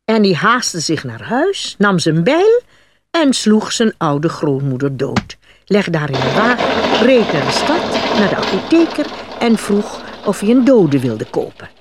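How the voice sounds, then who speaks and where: A woman narrating a scripted fairy tale for children in an expressive, dramatic read-aloud style with a slightly husky voice. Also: mid-range voice, unhurried, background noise. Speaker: storyteller.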